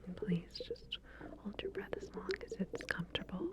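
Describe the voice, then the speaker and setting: A woman whispering gently and breathily in an ASMR medical roleplay, playing a researcher who gives hesitant, procedural instructions. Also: mid-range voice, huge pitch swings, moderate pace.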